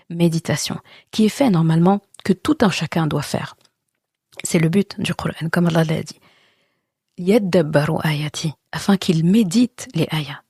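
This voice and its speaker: A woman's voice: deep voice, darkish tone, breathy edge, no room sound, studio quality. A woman hosting a podcast and giving a religious teaching talk, earnest and reassuring as she speaks straight to the listener. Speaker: podcast host.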